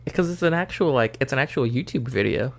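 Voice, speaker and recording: A man's voice: medium pitch, expressive, fast, vocal fry. Easygoing young man in casual chat. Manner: easygoing, hesitant, many fillers. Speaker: podcast co-host.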